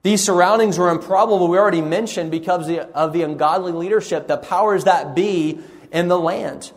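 A man preaching an earnest sermon, often repeating words and pausing with fillers. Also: high-pitched, long reverb tail. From raised partly in Sri Lanka on the mission field.

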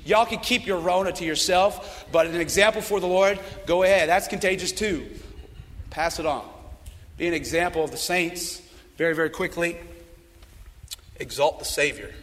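Hoarse, high-voiced preacher man. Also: normal speed, in a large hall. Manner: swings between loud fired-up calls and low earnest appeals. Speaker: youth pastor. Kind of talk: sermon.

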